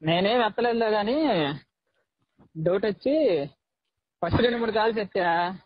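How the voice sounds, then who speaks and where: A man's voice: high voice, normal speed, slightly rough, no room sound. A young man chatting informally and in slang on a recorded phone call. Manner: informal, slangy, says "bro" often. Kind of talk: casual phone conversation.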